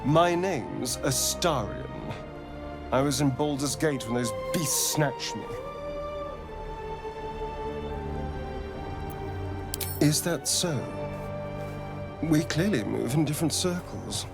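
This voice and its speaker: Game casting: sardonic, evasive English vampire gentleman; theatrical, widely swooping, unhurried speech. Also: a little high, animated, gravelly, over music, full character voice. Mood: smooth, guarded. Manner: arch, drawling, theatrical delivery. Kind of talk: video game character acting.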